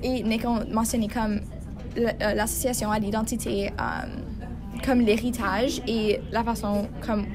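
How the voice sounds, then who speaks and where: A woman's voice: a little high, little pitch movement, a little slow, music in the background. Hesitant teen girl, lots of fillers. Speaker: student in a class discussion. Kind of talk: classroom round-table discussion.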